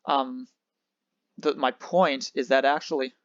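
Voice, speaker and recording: A man's voice: mid-range voice, fairly even intonation, slow pace, clean tone, no echo. Rambling bilingual man, dull dry sound. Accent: Geneva Swiss French. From France near Switzerland. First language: French and English. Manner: rambling, restarts, switches language. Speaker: livestream host. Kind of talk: casual livestream chat.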